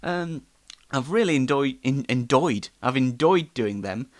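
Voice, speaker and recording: A man's voice: medium pitch, animated, unhurried. A man hosts an online show in a casual, rambling, self-deprecating live monologue.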